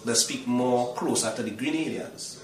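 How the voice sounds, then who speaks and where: A man's voice: medium pitch, normal speed, a crowd in the background. A Trinidadian man being interviewed in casual chat, often repeating words and checking "you understand?". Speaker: interview guest, singer. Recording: echoey room, mic at a distance.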